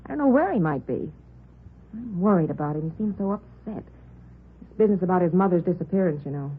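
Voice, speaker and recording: A woman's voice: lowish voice, animated, a little fast, slightly rough. An actress playing a polite, concerned woman answering police questions hesitantly in a 1950s radio drama. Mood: worried. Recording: muffled vintage radio drama recording.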